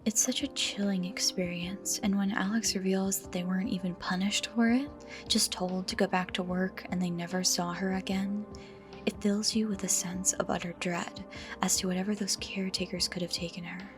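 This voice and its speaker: A woman's voice: medium pitch, steady tone, normal speed. Woman narrating dramatically over music. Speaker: video essay host. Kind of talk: scripted commentary on a horror series. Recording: music behind the voice.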